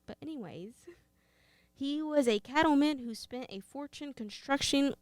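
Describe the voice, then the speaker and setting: A woman's voice: sits high, moderate pace, no room sound. A young woman with a Texan accent, co-hosting a podcast in a casual, playful way and reading out facts. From Texas. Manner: playful, teasing. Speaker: podcast co-host.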